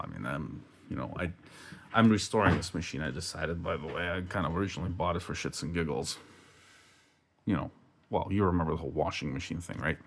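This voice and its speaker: A man with a low voice and a heavy gravelly creak hosts a hands-on restoration video. He explains things casually, as if at the workbench. His talk is informal and self-correcting, with a little mild swearing. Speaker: host of a repair and restoration video.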